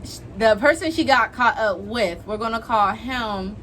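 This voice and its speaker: A young American woman hosting a casual storytime vlog, talking in an animated, slangy, giggly way. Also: a little high, moderate pace, a little hiss or hum. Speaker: vlog host.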